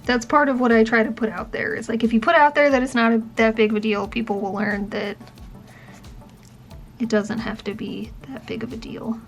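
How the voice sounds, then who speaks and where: A woman's voice: fairly high pitch, little pitch movement, moderate pace, soft background music. A young adult woman talking casually to camera, chatty and full of fillers and restarts. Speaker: vlogger and professor. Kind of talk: casual chat.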